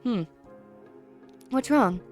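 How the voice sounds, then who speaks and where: A woman voicing a gentle, polite, composed teenage schoolgirl in a visual novel, speaking in a soft, measured, courteous way. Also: fairly high pitch, expressive, soft background music, voice pushed up.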